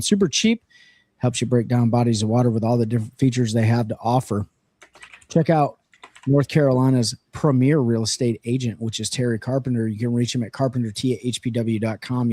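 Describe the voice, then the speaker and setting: A man with a hoarse, worn-out voice chats casually on a fishing livestream, energetic and rambling. His pitch sits in a plain middle range. The sound is close and dry, with no room echo. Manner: energetic, rambling, filler-heavy. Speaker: fishing show co-host. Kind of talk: casual livestream chat with sponsor reads. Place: region of central North Carolina.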